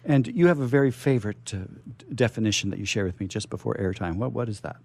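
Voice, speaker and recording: A man hosting a radio interview in a chatty, playful, theatrical way, halting and repeating his words as he goes. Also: lowish voice, gravelly, darkish tone, dry recording. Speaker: radio show host. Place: radio station studio.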